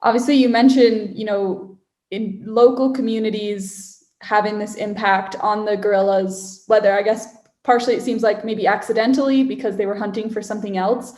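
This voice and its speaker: Woman, podcast host, appreciative, fillers, middle pitch, echoey room. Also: steady tone, moderate pace, darkish tone. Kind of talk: interview questions.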